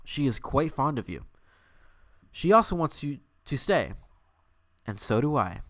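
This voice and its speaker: A man's voice: medium pitch, very animated, a little slow, fairly bright tone, no echo, studio quality. A man voicing a wily, flirtatious female talking squirrel in a dramatic fan fiction reading, teasing and suggestive. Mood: earnest, confiding.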